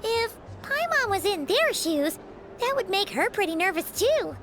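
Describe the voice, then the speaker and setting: A woman voicing a tiny, excitable girl companion in a video game, who talks about herself in the third person. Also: extremely high pitch, a little slow, heavily acted. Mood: eager. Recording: game audio over loud music.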